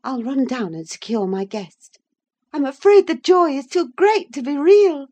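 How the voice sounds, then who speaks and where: A woman reading a story in the part of an excitable, imperious young gentlewoman with a gushing, theatrical manner. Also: sits high, normal speed, no echo, pitched above the performer's own voice. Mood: playful, imperious. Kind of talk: dramatised audiobook reading.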